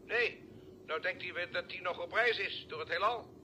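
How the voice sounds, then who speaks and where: Scherpe, hoge scheepsdokter. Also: male voice, high voice, moderate pace, bright, forceful tone. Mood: concerned reporting. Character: male expedition doctor reporting by radio, attentive. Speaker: actor in a radio play. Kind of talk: vintage Dutch radio drama acting. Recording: old radio recording, faint background noise.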